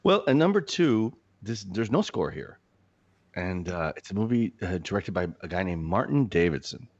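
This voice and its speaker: A man's voice: low-pitched, normal speed, no room sound. A man, a filmmaker guest, chatting animatedly on a podcast, swearing and joking. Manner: animated, swears, jokes, gets choked up. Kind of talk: casual podcast chat. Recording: dull lo-fi, dry.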